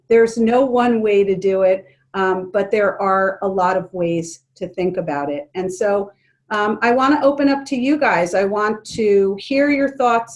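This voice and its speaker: A woman leading an online workshop for educators, speaking calmly and gently with frequent ums. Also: mid-range voice. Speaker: presenter leading an educators' forum. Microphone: video call.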